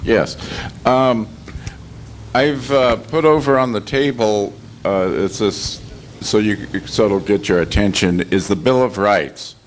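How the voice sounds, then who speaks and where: An older American man giving measured, halting remarks as a panel guest. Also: lowish voice, a little hiss or hum. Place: storefront meeting room, live event.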